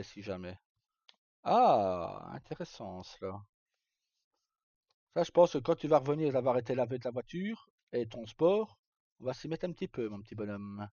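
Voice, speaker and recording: A middle-aged man chats on a livestream. The sound is close and dry, slightly dull and lo-fi. His pitch is average for a man, and he talks in a loose, hesitant, informal way. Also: warm tone, no room sound. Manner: hesitant, rambling, informal, mildly crude. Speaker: live streamer. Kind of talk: casual livestream chat.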